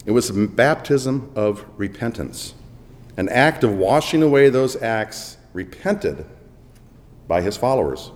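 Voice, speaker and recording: A man preaching a sermon with dramatic swings between booming emphasis and hushed asides, in a church. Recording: long echo of a large hall.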